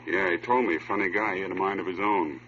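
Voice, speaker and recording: Gangster: deep, forceful voice, slangy and defiant, muffled vintage radio recording. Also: male voice, fast, a little hiss or hum. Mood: cool defiance. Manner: casual, slangy, cocky. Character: cocky, dapper, defiant male gangster. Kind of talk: 1950s radio drama acting.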